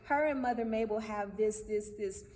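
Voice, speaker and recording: A woman chatting casually in an interview as a playwright, with an animated, laughing manner. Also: mid-range voice, normal speed. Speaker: playwright interviewed about her stage play. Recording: echoey hall, distant mic, faint noise.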